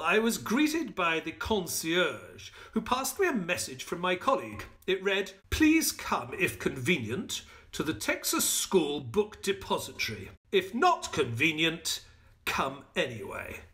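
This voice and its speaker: Male, polite, excitable sidekick-narrator for audio play. Bright, hugely animated delivery. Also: a little high, measured pace, full character voice. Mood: measured storytelling. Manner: expressive, polite, storytelling. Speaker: actor and narrator.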